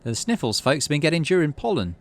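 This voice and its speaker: A man's voice: on the high side, big pitch swings, fast, pitched above the performer's own voice. A man voicing an earnest, folksy young woman councillor in a sci-fi game story.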